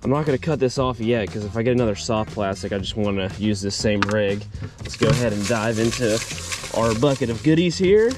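A man's voice: on the low side, brisk, music in the background. A man hosting a fishing video, speaking to viewers in a casual, slangy way. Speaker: fishing video host and competitor. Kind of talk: casual outdoor vlog chat with a scripted sponsor read.

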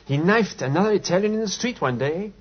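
An obliging, excitable factory owner in an old radio play. He is deferential and emphatic, with a husky, swooping voice on a dull recording. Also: high voice, brisk. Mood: indignant, excitable. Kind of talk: 1950s radio drama acting.

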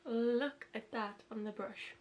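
Young woman beauty vlogger, slightly higher voice with a husky edge, gushing and chatty, talking close to camera in a bedroom. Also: slow, darkish tone. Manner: chatty and gushing, heavy use of "like". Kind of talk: casual makeup tutorial chat. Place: small bedroom.

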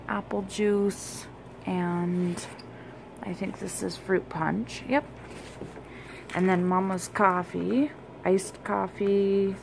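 A woman's voice: lowish voice, creaky, noisy background. A woman vlogger chatting casually at home, listing groceries with lots of fillers and filming on a handheld phone. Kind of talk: casual grocery haul show-and-tell. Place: home kitchen and dining room, indoors.

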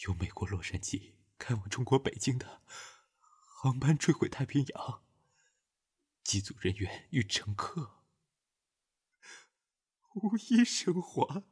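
Man acting a husky, breathy, grief-stricken young man. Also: mid-range voice, very animated, takes it slowly, pauses often, a little mellow, exaggerated voice. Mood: stunned, desperate. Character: young man waking injured in hospital, desperate for lost lover. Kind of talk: audio drama acting.

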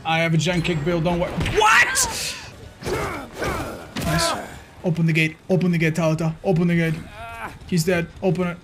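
A man in his thirties doing excitable live gameplay commentary for a chat, exclaiming and repeating phrases for emphasis. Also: high voice, moderate pace, pauses often, raised voice, over loud music. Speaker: video game streamer. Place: indoor streaming room.

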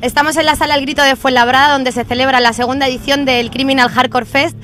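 Quick high-voiced woman interviewer. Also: steady tone, a bit bright, slight background noise. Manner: brisk presenter delivery. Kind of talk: reporter questions. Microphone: handheld interview mic.

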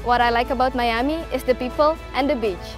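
A young woman from Indonesia narrating a travel segment as a student reporter, careful and deliberate. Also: on the high side, steady tone, music in the background. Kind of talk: scripted narration.

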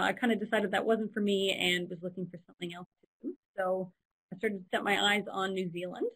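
A woman's voice: fairly low pitch, vocal fry, slightly rough, rough recording. A young woman with a Canadian accent, giving a casual engineering talk over a video call, chatty with frequent ums. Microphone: laptop webcam on a video call.

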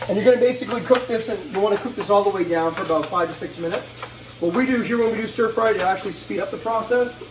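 A man in his forties giving a casual, upbeat cooking demonstration to camera in a home kitchen, addressing viewers as guys. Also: high voice. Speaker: cooking show host. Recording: roomy, distant mic, faint kitchen noise.

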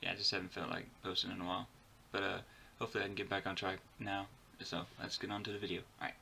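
A young man with a fairly low, bright voice talks casually as he reacts to a video. He sounds tired, and faint noise sits behind him. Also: little pitch movement, racing, gravelly. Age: young adult. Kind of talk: casual live reaction commentary. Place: small bedroom, indoors.

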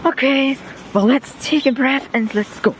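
Young man, German accent, unhurried rambling vlogger; phone recording on a noisy street. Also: very high voice. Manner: rambling, self-deprecating, swears casually. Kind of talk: casual walking vlog. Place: outdoors on streets and on a bus. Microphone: phone held while walking.

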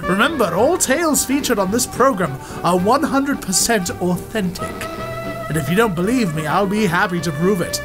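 An actor playing a boastful, smug gentleman explorer in a 1930s-style radio serial, with a pompous, theatrical delivery. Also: high-pitched, animated, moderate pace, music in the background, low-quality recording. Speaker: radio drama lead.

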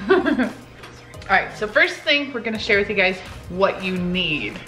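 A woman in her forties hosts a casual cooking vlog from a home kitchen. She talks informally at an ordinary pitch, with music clearly playing behind her. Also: expressive, a little slow, bright, forceful tone. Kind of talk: casual chat with recipe instructions.

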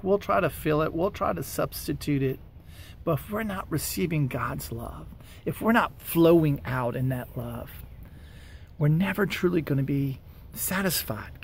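American man doing a casual faith vlog outside, voice a bit on the high side, some light background noise. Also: vocal fry. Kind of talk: casual devotional talk to camera. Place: outdoors by a wooded parking lot. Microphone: camera or phone at arm's length. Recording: outdoor ambient noise.

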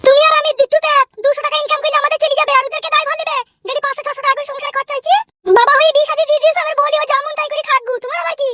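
A man's voice: extremely high pitch, little pitch movement, racing, few pauses, bright, forceful tone. A man voicing a spiteful, domineering village stepmother in a comic cartoon, scolding and bossy.

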